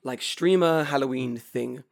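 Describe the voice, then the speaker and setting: A young man co-hosting a casual podcast, his voice raspy and hoarse, laughing often as he chats. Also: mid-range voice, a little slow. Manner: laughs often, mock voices when joking. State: hoarse from karaoke. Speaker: podcast co-host. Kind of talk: casual chat.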